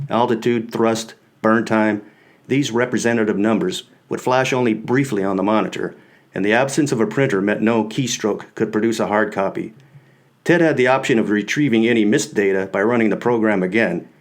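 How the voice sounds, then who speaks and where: A man reading his own book as scripted audiobook narration, in the first person. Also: on the low side.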